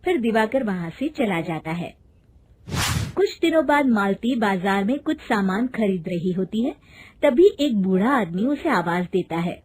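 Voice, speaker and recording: A woman's voice: mid-range voice, animated, unhurried, a little mellow, breathy edge. A woman reading a scripted moral story as narrator.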